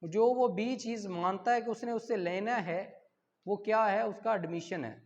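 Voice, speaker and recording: A male teacher with a Pakistani accent and a notably high voice. He lectures in a didactic way, posing questions, answering them and repeating key terms. The recording carries a long, echoing reverb. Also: normal speed, darkish tone, muffled recording. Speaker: law teacher. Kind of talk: exam-prep lecture, reading questions and explaining.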